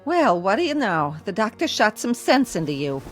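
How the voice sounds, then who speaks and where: An actress in a radio drama playing a sardonic, exasperated working-class wife, talking in brassy slang. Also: female voice, mid-range voice, big pitch swings, normal speed, faint music behind, natural voice. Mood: weary, impatient.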